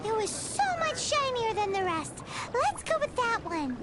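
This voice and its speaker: A woman voicing a small, excitable, childlike fairy companion in a video game, with a squeaky voice and an exclamatory, bossy way of talking. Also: a little slow, exaggerated voice. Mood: chirpy, eager. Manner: exclamatory, speaks of itself in third person. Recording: loud game music behind voice.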